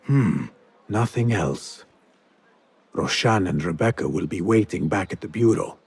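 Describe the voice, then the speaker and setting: An actor playing an earnest, driven young thief turned assassin in a video game, speaking in a measured, determined way. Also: male voice, low voice, expressive, vocal fry, slight background noise, heavily acted. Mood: resolute. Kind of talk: video game cutscene acting.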